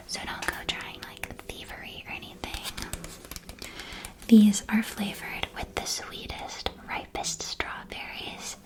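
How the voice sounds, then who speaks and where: A woman playing a kindly, chatty candy shopkeeper in a witch world, in an ASMR roleplay with gentle, helpful sales patter. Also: mid-range voice, natural voice.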